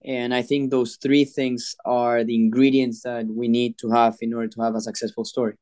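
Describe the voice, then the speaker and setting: A man, a mining company chairman answering interview questions in a measured way with frequent filler pauses. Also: mid-range voice, little pitch movement, a little mellow, no room sound, studio quality. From Peru. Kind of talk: podcast interview.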